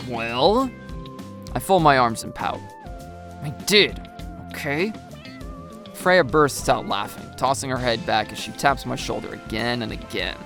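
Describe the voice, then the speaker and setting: A woman's voice: a bass voice, normal speed, vocal fry, hardly any pauses, music in the background. A woman reading a scripted first-person story as its narrator.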